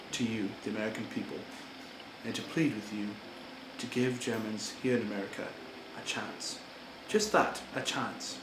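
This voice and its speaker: An earnest, indignant German-born immigrant makes his plea, as a man acts him out, with an ordinary mid-pitched voice and no accent. The room rings with echo, and steady noise sits behind him. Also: lightly acted. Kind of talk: amateur historical role-play monologue. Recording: echoey room with background noise.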